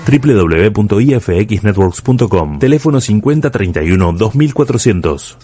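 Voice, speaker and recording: Casting: male commercial announcer, fairly low voice, scripted radio read with clear music bed. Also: animated, moderate pace, mellow.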